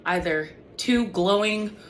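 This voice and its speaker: A woman's voice: medium pitch, slow pace. A woman author reading young adult fiction aloud in an audiobook style. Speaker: author reading own book. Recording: clearly noisy background.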